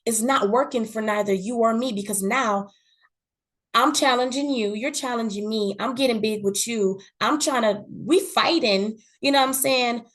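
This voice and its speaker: Earnest woman, fairly high voice, candid chat. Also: normal speed, fairly bright tone. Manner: earnest, repeats words, often says "you know what I'm saying". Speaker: podcast guest. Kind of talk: candid conversational talk.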